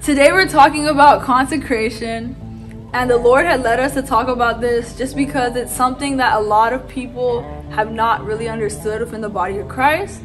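A woman's voice: on the high side, steady tone, normal speed, faint music behind. Welcoming woman co-host opening a talk. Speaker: apostle, co-host. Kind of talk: introducing a discussion.

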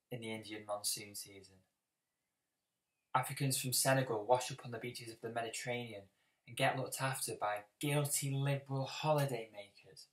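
A man performing a dramatic audition monologue as a bitter, apocalyptic schoolboy, speaking deliberately with heavy pauses. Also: mid-range voice, lightly acted. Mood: urgent despair. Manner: deliberate, heavy pauses, swelling from hushed to forceful. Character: bitter, brooding, apocalyptic schoolboy confronting a bully. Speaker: actor auditioning. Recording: roomy, distant microphone.

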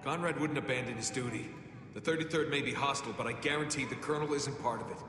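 An actor playing a resolute, dutiful US special forces captain in a military game, with an American accent. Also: male voice, mid-range voice, fast, background noise, close to an everyday voice. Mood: earnest. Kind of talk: military drama game dialogue. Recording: reverberant hall, loud music behind.

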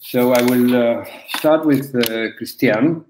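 Older man from Argentina, webinar moderator, halting with fillers, middle pitch, echoey video call. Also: a little mellow, slight background noise. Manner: halting, many fillers and restarts. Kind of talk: opening remarks on a video call. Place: room at home. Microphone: laptop webcam on a video call. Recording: roomy video call audio.

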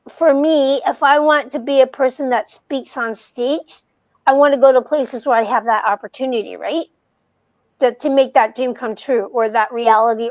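A middle-aged woman hosting a podcast, chatting in an animated way with frequent restarts, on a video call with wired earbuds. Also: high-pitched, no room sound, low-quality recording. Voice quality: soft voice. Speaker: podcast host. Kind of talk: casual conversation. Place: home room, video call. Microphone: wired earbuds headset.

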